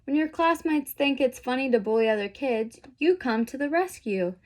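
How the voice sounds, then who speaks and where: Woman as a gentle, higher-voiced mother zebra. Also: expressive, moderate pace. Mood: reassuring. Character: loving, reassuring mother zebra.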